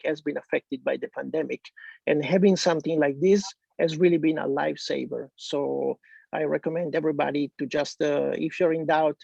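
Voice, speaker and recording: A man with an Italian accent speaking on a video call, chatty and relaxed with many fillers. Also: fairly high pitch, gravelly, breathy edge, no echo. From Italy. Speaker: guest sharing business experience. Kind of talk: casual video call talk. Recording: dull lo-fi call audio.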